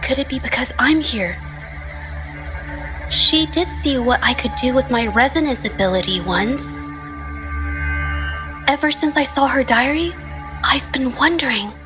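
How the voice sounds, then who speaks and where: A gentle, shy young woman painter from a video game. She speaks softly and hesitantly, trailing off mid-thought, in a fairly high voice. Game music plays behind her. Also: lively intonation, measured pace, pauses often, rough recording. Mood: self-blaming. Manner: soft-spoken, hesitant, trailing off.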